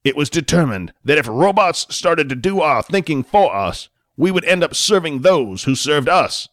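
A man voicing a heavyset, enraged male senator who rants in slow, emphatic oratory. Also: fairly high pitch, big pitch swings, a bit bright, dry recording, studio quality, pitched above the performer's own voice. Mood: ranting.

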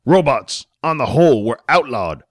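A man voicing a heavyset, enraged male senator who rants in slow, emphatic oratory. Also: mid-range voice, animated, no echo, clean studio sound, pitched above the performer's own voice, lightly acted. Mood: ranting.

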